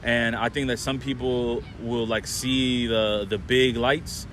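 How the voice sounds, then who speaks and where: Rambling young podcast host, casual. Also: male voice, medium pitch, little pitch movement, takes it slowly, fairly bright tone, a little hiss or hum. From Orlando, Florida. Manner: loose, rambling, many fillers and restarts. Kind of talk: casual chat.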